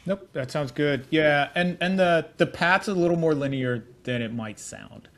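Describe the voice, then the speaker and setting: A man's voice: a little high, moderate pace. Middle-aged man chatting easily as interview guest. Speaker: interview guest, tech executive. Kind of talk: casual conversational storytelling.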